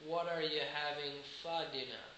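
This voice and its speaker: A man's voice: a little high, fairly even intonation, pushed and bright, highs cut off. A man with an Australian accent, an English teacher giving a hesitant, filler-laden video lesson. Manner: slow, hesitant, many fillers, demonstrates phrases. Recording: very echoey room with background noise.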